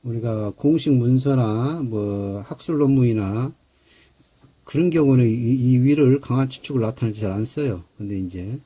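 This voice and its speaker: A male grammar lecturer with a very dark, mellow tone and a husky edge to his voice. He walks through each point step by step and slows right down to read English example sentences aloud. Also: mid-range voice.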